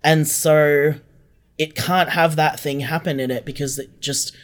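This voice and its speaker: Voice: mid-range voice, fairly even intonation, a little slow. Chatty podcast guest thinking aloud. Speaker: podcast creator and writer, interview guest. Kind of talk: casual interview conversation.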